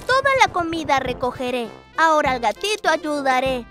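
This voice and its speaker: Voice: sits high, animated, normal speed. A performer voicing a playful, adventurous young lion cub in a children's cartoon, speaking in sing-song, exclamatory rhymes. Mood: determined. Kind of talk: rhyming children's cartoon narration. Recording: loud music under the voice.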